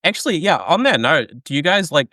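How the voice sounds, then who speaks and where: A man's voice: fairly high pitch, big pitch swings, bright, forceful tone, no room sound, clean studio sound. A man hosting a podcast over a video call, upbeat and loose, with lots of fillers. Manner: upbeat, filler-heavy, quick. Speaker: podcast host.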